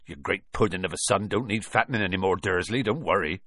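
Big gruff storybook giant, rustic talk, husky, swings up and down a lot, hot tempered but kind. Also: male voice, wildly swooping pitch, moderate pace, bright, forceful tone, dry recording, studio quality, full character voice. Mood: gruff, friendly. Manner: rustic dialect, booming when angry. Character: huge gruff giant man, hot-tempered yet kindly.

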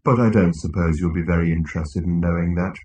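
A man voicing a depressed, disdainful male robot in a low, dull, resigned voice for an audiobook. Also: fast, warm tone, husky. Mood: gloomy, resigned.

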